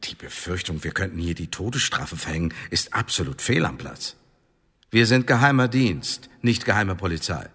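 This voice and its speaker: An actor in a German radio drama playing a cold, mocking secret service tribunal chief, officious and commanding. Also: male voice, low-pitched, sing-song, moderate pace, a bit bright, heavily acted. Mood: stern, emphatic. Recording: echoey hall, clear background noise.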